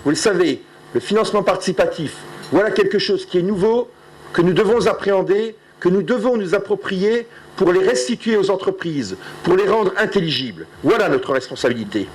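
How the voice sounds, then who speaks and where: A man's voice: high-pitched, lively intonation, a little fast, slight background noise, rough recording. Earnest man giving a proud speech. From Alsace, France. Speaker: conference speaker. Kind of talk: formal closing speech.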